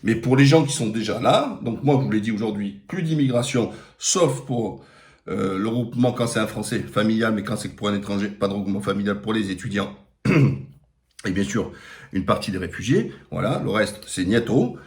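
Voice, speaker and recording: A man's voice: on the low side, lively intonation, normal speed. A middle-aged man with a Marseille accent giving a blunt, emphatic opinion monologue on a live stream, with frequent fillers. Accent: Marseille French. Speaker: political commentator.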